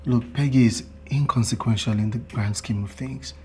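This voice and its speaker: An actor playing a placating, smooth-talking boyfriend in a screen drama. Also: male voice, medium pitch, fairly even intonation, normal speed, dark tone, slight background noise, lightly acted. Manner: placating, coaxing.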